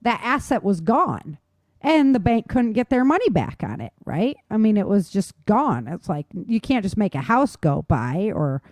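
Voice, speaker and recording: A woman's voice: mid-range voice, sing-song, normal speed, a little mellow, no echo, clean studio sound. A woman co-hosting a talk show in informal, conversational chat. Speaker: show co-host. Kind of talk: casual chat.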